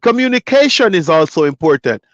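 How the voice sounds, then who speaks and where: A man's voice: high-pitched, very animated, brisk, no echo, lo-fi sound. A man hosting a live stream as a trucker, talking casually and reading chat comments aloud. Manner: laid-back, loose, reads chat comments aloud.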